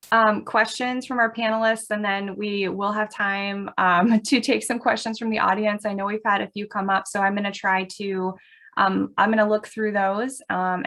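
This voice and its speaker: The woman who steers an online community panel from segment to segment, feeling her way haltingly through the housekeeping. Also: medium pitch, fairly bright tone. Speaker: forum moderator. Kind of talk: informal event hosting. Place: virtual forum over video call.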